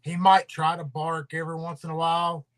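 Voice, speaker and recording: A man's voice: on the high side, completely flat delivery, a little fast, crowd noise. A man hosting a casual livestream, rambling and drawling, his voice trailing off into mumbles. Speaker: livestream host.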